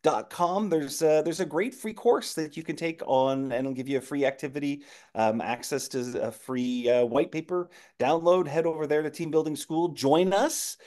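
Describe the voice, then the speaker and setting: A man guest on a podcast, chatting casually as a team-building trainer and telling animated stories with lots of fillers and restarts. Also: a little high, normal speed, hardly any pauses.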